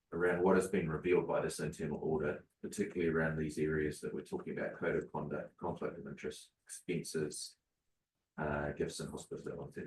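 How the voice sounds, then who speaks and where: Man, very deep voice, deliberate and formal, echoey chamber, distant mic, faint hiss. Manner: deliberate, formal, many ums. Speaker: councillor on committee. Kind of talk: committee discussion. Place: council chamber meeting.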